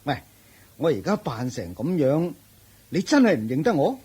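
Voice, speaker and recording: An actor playing a wily, boastful self-made rich man in a Hong Kong Cantonese radio drama, with a rough husky voice. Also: a little high, very animated, normal speed, a little mellow. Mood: sly, confident.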